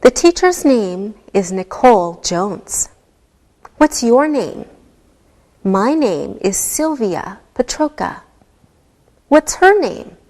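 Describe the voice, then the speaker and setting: A woman teaching a beginner English lesson, speaking deliberately and enunciating every word clearly. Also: on the high side, very animated, a little mellow, faint music behind. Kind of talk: slow, scripted ESL lesson delivery.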